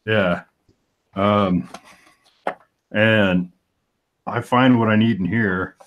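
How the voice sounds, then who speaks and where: A man in his forties with a very low voice talks loosely about his craft as a comic artist. He works through his thoughts out loud, reaching for the right words as he goes. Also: steady tone, very slow, lo-fi sound, a little hiss or hum. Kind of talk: casual chat on a live stream.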